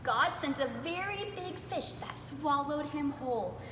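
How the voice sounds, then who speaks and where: A woman reading aloud to young children in a playful, expressive way, dwelling on words for emphasis. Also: sits high, unhurried. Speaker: preschool storytime reader. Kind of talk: animated children's read-aloud. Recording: echoey reverberant room with clear background noise.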